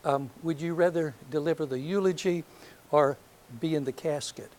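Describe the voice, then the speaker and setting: An older man from North Alabama with a soft voice, giving a reflective lecture to an audience, hesitant and full of ums, with gentle self-deprecating humor. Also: a little high, normal speed. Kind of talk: last lecture, reminiscence. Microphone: handheld or podium microphone.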